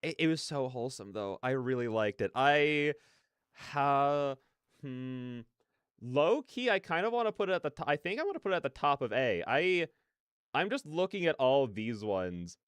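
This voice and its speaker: A man hosting a reaction video, giving casual, excited commentary in a rambling, repetitive way with stammered emphasis. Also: mid-range voice, moderate pace, clear, resonant tone, no echo.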